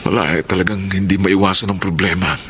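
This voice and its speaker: A low-voiced, emotive younger brother. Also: male voice, steady tone, fast, a bit bright. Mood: ashamed, worried. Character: affectionate, debt-burdened younger brother of the mayor. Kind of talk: Filipino radio drama acting. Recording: clear background noise.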